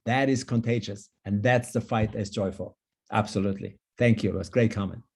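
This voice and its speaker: Sex: man. Native language: German. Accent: German. Role: longevity coach on a video call. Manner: emphatic, upbeat. Timbre: warm, dark. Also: lowish voice, steady tone, fairly quick, vocal fry, breathy edge. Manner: enthusiastic, emphatic. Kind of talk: online panel discussion.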